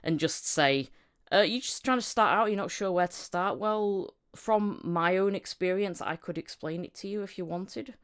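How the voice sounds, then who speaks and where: A woman's voice: lowish voice, normal speed, smooth. A British woman hosting a casual podcast chat, hesitant and self-effacing, recorded in a bedroom on a condenser microphone. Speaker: podcast host, voice actor. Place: bedroom at home.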